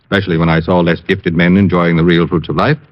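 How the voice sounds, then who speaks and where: Middle-aged male actor as genteel ex-swindler, nervous and formal, very low voice, 1940s radio drama on a dull recording. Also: little pitch movement, quick pace, no room sound, natural voice. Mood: confiding. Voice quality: clicking new false teeth. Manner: hesitant, formal, genteel and indignant.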